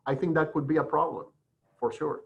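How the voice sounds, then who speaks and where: A man's voice: on the high side, flat delivery, fairly quick, creaky, slight background noise. Middle aged guy w explaining stuff on a webinar, rambly and hesitant, dull web call sound. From Dominican Republic. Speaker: forensic pathologist, guest speaker. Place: online video call.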